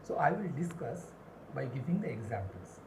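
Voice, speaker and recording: A woman teaching spoken English in a deliberate, instructional way, repeating key phrases for emphasis. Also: extremely low pitch, very animated. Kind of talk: instructional lesson with numbered points and examples. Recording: roomy echo, clear background noise.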